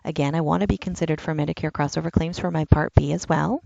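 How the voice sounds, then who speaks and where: A woman's voice: deep voice, fairly even intonation, quick pace, vocal fry, warm tone, no room sound, dull audio. A woman giving a patient, step-by-step walkthrough of an online application form. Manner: step-by-step instructional, plain and patient. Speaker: enrollment trainer. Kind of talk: screen-recorded software walkthrough.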